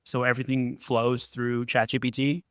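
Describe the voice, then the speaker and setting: A man's voice: mid-range voice, little pitch movement, moderate pace, smooth, no echo, clean studio sound. A man speaking as an expert panelist, laying out scenarios in a conversational, explanatory way.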